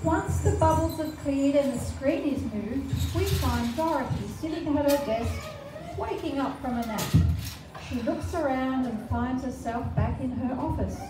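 A woman's voice: high voice, a little slow. A woman reading scripted narration for an amateur pantomime. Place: care home common room, indoors. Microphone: far camera. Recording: echoey room, distant camera, background noise.

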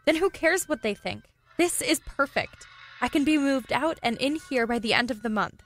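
A woman's voice: high-pitched, lively intonation, moderate pace, faint music behind. An actress playing a playful young girlfriend in a radio play, with teasing, affectionate, conversational delivery. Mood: excited. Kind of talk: radio play acting, intimate dialogue.

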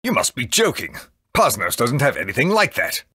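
A man's voice: mid-range voice, huge pitch swings, moderate pace, sharp tone, slightly rough, no room sound, clean studio sound, exaggerated voice. A man playing a grave, authoritative leader of a fortress in a dramatic video game style. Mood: flustered disbelief. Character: grave, commanding fortress leader, easily flustered.

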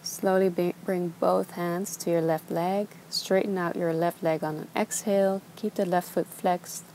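A young woman teaching a gentle yoga class, giving slow, soothing instructions. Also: fairly low pitch, a little hiss or hum, low-quality recording. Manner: calm, slow, soothing cues. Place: outdoors on a beach.

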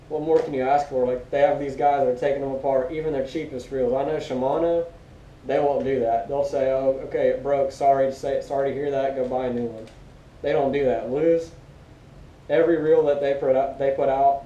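A young American man chatting casually as co-host of a gear review, recorded in a living room on a camera a few metres away. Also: mellow. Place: living room at home, indoors. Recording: dull muffled roomy audio, rumbly background noise.

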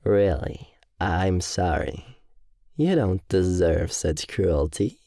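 Guy acting as a smug cruel demon boy, deep crackly drawl, taunting, audio roleplay. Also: expressive, slow pace, gravelly, warm tone, no echo, clean studio sound, exaggerated voice. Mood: feigned sincerity. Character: cruel young male demon bully, mocking and smug.

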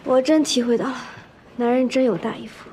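An actress as a high-voiced, spirited young office employee. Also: female voice, fairly quick, a little hiss or hum, natural voice. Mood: exasperated. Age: 20s. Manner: expressive, pleading, chatty. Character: spirited young female employee, cajoling and quick to grumble. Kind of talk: naturalistic TV drama acting.

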